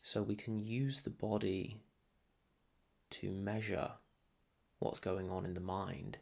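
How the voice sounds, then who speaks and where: A man leading a guided meditation as a teacher, soothing and unhurried, leaving long pauses between short phrases. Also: deep voice, steady tone, gravelly, darkish tone. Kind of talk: guided meditation, calm instruction.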